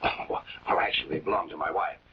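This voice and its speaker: A man playing a courteous but uneasy householder in a late-1940s television drama, talking in a halting, over-polite way. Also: very deep voice, breathy edge, full character voice. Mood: uneasy, explaining. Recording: dull, noisy 1940s film soundtrack.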